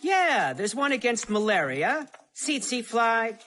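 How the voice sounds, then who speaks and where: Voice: medium pitch, big pitch swings, slow, a bit bright, slight background noise. An actor voicing a playful, teasing father of a young boy in a children's cartoon dub.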